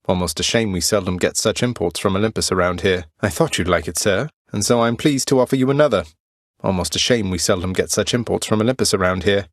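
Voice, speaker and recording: An actor playing a young underworld prince in a video game, polite and cheeky, with dry, understated wit and a determined streak. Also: male voice, low voice, fast, dry recording. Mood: polite, wry. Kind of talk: video game character voice lines.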